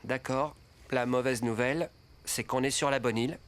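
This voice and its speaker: A man voicing a hesitant, measured adult traveller in a French cartoon dub. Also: mid-range voice, steady tone, close to an everyday voice. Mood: worried.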